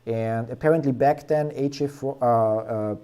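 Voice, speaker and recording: A man's voice: mid-range voice, normal speed, mellow, clear, resonant tone. A young man hosting a stock trading stream, casually analyzing charts and thinking aloud in a rambling way, with plenty of fillers.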